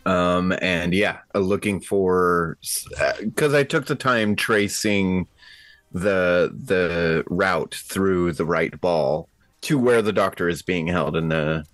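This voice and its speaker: A man's voice: deep voice, slow pace, fairly bright tone, no room sound. A man playing a tabletop roleplaying game, chatting casually about his choices. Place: remote online call.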